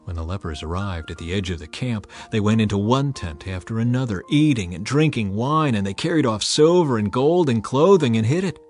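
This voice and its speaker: A man narrating a dramatized scripture reading in a measured, earnest way. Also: fairly low pitch, animated, a little mellow. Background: faint background music.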